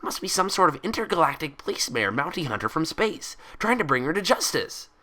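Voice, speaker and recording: A man's voice: fairly high pitch, big pitch swings, a little fast, bright, forceful tone, voice pushed up. A man voicing a hyper, cheerful, gullible young female cartoon pony in bubbly, rambling, childlike chatter. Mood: excited, amazed.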